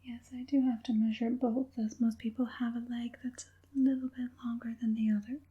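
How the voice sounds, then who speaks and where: A woman playing an evil witch puppet master in an ASMR roleplay, whispering softly in a slow, soothing and quietly persuasive way. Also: on the high side, level tone, dull audio. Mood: calm, methodical. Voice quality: breathy whisper.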